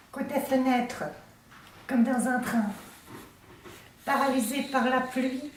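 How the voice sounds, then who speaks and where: Very slow, echoey woman reciting poetry. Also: on the high side, darkish tone. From Russia. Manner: deliberate, declamatory, short phrases with pauses. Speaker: poet reading own work. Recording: very echoey room, distant mic, faint noise.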